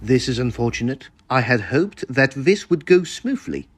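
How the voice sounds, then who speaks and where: A man playing a smooth, scheming villainous guardian with false courtesy in an old-time radio melodrama, formal and theatrical. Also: medium pitch, sing-song, normal speed, noisy background, natural voice. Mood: falsely soothing.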